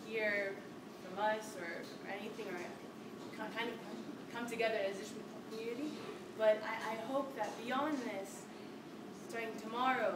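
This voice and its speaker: A woman's voice: a little high, very noisy. Woman, earnest community speaker, heartfelt; echoey room, distant mic. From United States. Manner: earnest, heartfelt, with frequent ums. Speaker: invited speaker. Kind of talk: public talk at a community meeting.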